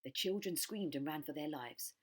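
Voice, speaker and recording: Slightly husky, low-voiced woman reading a story. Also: moderate pace. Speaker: primary school story reader. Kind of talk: children's story read aloud.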